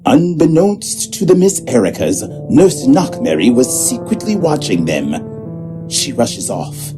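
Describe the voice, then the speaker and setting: A man's voice: mid-range voice, very animated, takes it slowly, over music. A man playing the theatrical, easily flustered narrator of a comic audio drama.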